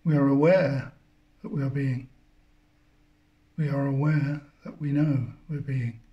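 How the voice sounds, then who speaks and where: A man leading a guided meditation, speaking contemplatively in halting phrases with long pauses between them. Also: medium pitch, fairly even intonation, dull audio. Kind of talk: guided meditation, spiritual talk.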